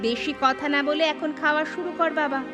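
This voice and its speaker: Woman as a high-voiced, nagging cartoon housewife. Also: over music, natural voice. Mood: coaxing, exasperated. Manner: nagging, quick to retort. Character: sharp-tongued, touchy young housewife and mother. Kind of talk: Bengali cartoon story acting.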